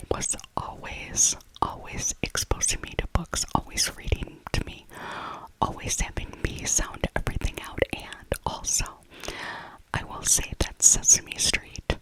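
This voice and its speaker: A middle-aged American woman speaking in a soft, breathy whisper, chatting nostalgically in a casual ASMR voiceover and often repeating her phrases. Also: a little slow, low-quality recording. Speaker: ASMR video creator.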